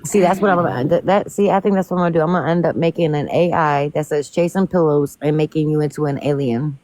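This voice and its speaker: A woman in her thirties chatting casually on a live stream, blunt and quick to laugh. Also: sits low, dark tone. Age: 30s. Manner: blunt, talks over others, laughs often. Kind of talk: casual chat.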